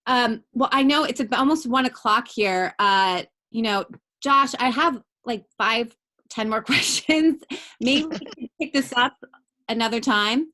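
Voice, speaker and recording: A woman's voice: on the high side, unhurried. A woman college teacher hosts a class video call, talking in a chatty way with lots of fillers. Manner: warm, chatty, many fillers.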